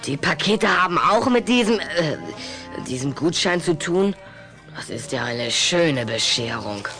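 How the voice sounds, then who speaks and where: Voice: mid-range voice, lively intonation, measured pace, over music, natural voice. An actor in a German radio play playing a cheeky young boy who is skeptical and sly, talking pertly with hesitant little stammers.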